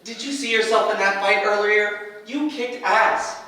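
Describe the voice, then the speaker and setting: A man's voice: sits high, unhurried, bright, forceful tone. A man playing a nervy but hopeful young hero with fire powers, stammering and earnestly encouraging in a staged reading. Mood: rallying. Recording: echoey room, distant mic.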